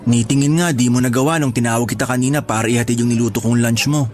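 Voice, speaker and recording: A man's voice: mid-range voice, steady tone, quick pace, a little mellow, close to an everyday voice. An actor playing a playful, sweet-talking boyfriend who is devoted to his partner, in a radio drama. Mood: teasing, caring. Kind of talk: Tagalog radio drama acting. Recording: music bed under dialogue.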